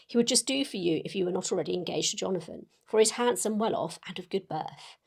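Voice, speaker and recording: A woman voicing an excitable young Victorian lady reading out her sentimental letter in a gushing, earnest way. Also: medium pitch, fast talker, vocal fry, breathy edge. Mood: excited, gushing. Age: young adult.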